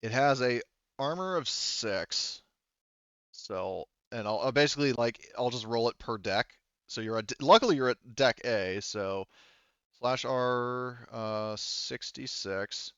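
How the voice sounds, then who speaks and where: A man's voice: medium pitch, takes it slowly, fairly bright tone, clean tone, dry recording, highs cut off. A man speaking casually as game master of a tabletop roleplaying game, explaining rules and outcomes in informal, sweary talk.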